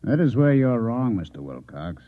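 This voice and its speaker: A man playing a coolly menacing Martian infiltrator posing as a newspaper man in an old-time radio drama, measured, formal and insinuating. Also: medium pitch, sing-song, natural voice. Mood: cool menace. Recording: echoey, phone-like old radio drama recording.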